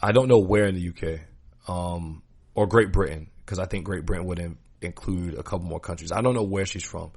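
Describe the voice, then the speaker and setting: Low-voiced man, earnest podcast host. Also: a little fast, darkish tone, breathy edge, dry recording. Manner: earnest, opinionated, slangy, repeats points for emphasis. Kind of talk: casual conversational podcast talk.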